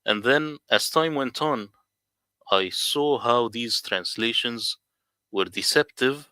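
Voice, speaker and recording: A man's voice: mid-range voice, pushed and bright, no room sound. A man from the Middle East whose first language is Arabic, earnestly telling his story in casual livestream talk. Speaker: guest translator.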